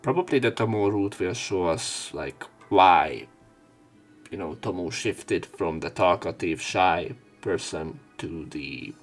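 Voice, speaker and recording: A man's voice: low voice, expressive, slow, soft background music. A man reading visual novel text aloud for a let's play, dropping in casual, sweary asides.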